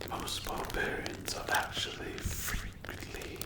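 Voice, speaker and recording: Breathy whisper, performer acting out a menacing sadistic demon, slow deliberate threats, dark fantasy ASMR roleplay, echoing room. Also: natural voice. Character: menacing sleep paralysis demon, sadistic predator with some morals.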